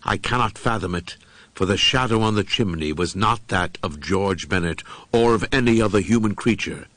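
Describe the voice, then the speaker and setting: A man narrating a first-person horror audiobook in a solemn, brooding, deliberate manner with dramatic pauses. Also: on the low side, a bit bright, no echo.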